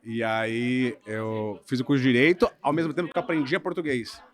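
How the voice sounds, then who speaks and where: A man from Brazil, a lawyer in his thirties, telling the story of his career in a relaxed podcast conversation, often checking in with "né?". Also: mid-range voice, moderate pace. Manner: relaxed storytelling, hedging with frequent "né?". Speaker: guest lawyer. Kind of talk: casual podcast interview.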